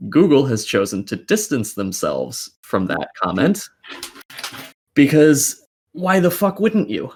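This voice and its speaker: A man's voice: mid-range voice, big pitch swings, slow, a little mellow, a little hiss or hum. A man co-hosting a casual podcast, giving opinionated commentary in a blunt, sweary, argumentative way. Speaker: podcast co-host.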